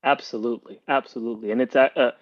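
A man's voice: medium pitch, steady tone, fast, no room sound. Cuban-born man rambling on a muffled line. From Cuba. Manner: rambling, many restarts and fillers. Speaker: podcast guest. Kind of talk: casual interview talk.

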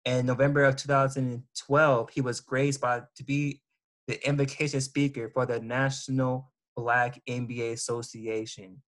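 A man's voice: mid-range voice, level tone, moderate pace, fairly bright tone. Hesitant man reading a guest introduction. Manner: reads a guest bio with frequent ums and restarts. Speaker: host. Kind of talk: casual interview introduction.

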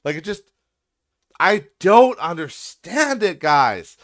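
A man's voice: high voice, sing-song, slow pace, a bit bright. A young man with a nasal voice hosting a solo podcast, ranting with exasperation, profanity and lots of restarts. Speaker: podcast host.

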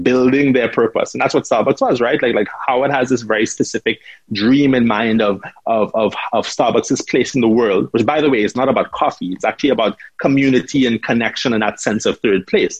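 A man's voice: medium pitch, husky, dry recording, low-quality recording. A man from Trinidad and Tobago, a rum brand founder, talking in an interview and often repeating words and asking "right?". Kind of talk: conversational interview answer.

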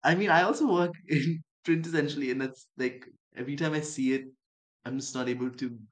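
A man's voice: on the high side, fairly quick. A young man from Dubai and Chennai, a writer guest in an interview, talking in a reflective, musing way. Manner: thoughtful, musing, acts out quoted voices.

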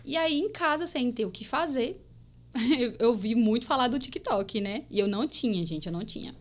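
A woman's voice: a little high, normal speed. Young woman with a mixed Northeastern Brazilian accent. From Paraíba, Brazil. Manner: lively storytelling, often addressing listeners as "gente". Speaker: podcast guest. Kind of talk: casual podcast chat.

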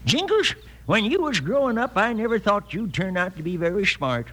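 A bright, high-pitched old grandpa, crotchety and weary, drawling in folksy American speech. It is old radio western acting with pitch that jumps around. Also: male voice, big pitch swings, normal speed, slightly rough, exaggerated voice. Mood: wry, weary. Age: older. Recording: old radio recording, faint noise.

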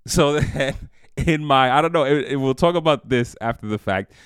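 Podcast co-host, male, deep smooth voice, chatty storyteller, dry close mic. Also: moderate pace, dry recording. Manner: storytelling, animated, lots of fillers. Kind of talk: casual faith podcast chat.

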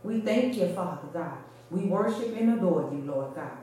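Mellow woman praying fervently, very echoey. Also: fairly low pitch, expressive, moderate pace. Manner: fervent, repeating invocations in short phrases. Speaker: church member leading prayer. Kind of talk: extemporaneous congregational prayer. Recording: very echoey reverberant room.

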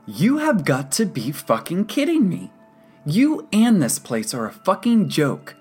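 Woman acting a loud, indignant, defiant young man. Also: low voice, big pitch swings, measured pace, soft background music, voice pushed up, heavily acted. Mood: outraged, profane. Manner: profane, indignant, emphatic. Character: angry, brash young man, defiant psychiatric patient.

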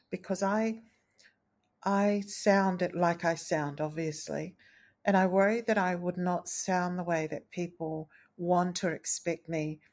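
A woman hosting a solo podcast, speaking in an earnest, gentle, thoughtful way with a low, tenor-like voice. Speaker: podcast host. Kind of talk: reflective solo podcast talk.

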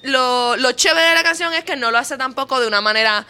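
A woman's voice: high-pitched, quick pace, pushed and bright, clear, resonant tone. A woman panelist chatting casually and expressively, mixing Spanish with English. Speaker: panelist on a talk show. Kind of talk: casual chat.

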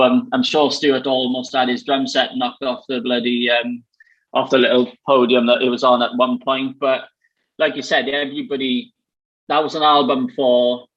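A man with a South Wales Valleys accent hosts a relaxed conversation, wandering through his thoughts with lots of ums and likes. The recording is slightly dull and lo-fi. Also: mid-range voice. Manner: chatty, many fillers, rambling. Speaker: host. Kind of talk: casual chat.

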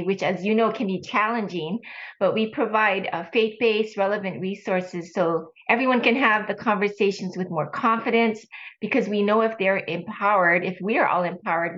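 A woman's voice: mid-range voice, a bit bright, muffled recording. Older woman, earnest and conversational. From Hawaii. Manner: earnest, conversational, halting restarts. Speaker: guest, ministry leader. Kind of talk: casual interview talk.

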